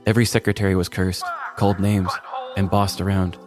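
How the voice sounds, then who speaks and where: Lower-pitched man narrating a comic story. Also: little pitch movement, brisk, over music. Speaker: podcast storyteller.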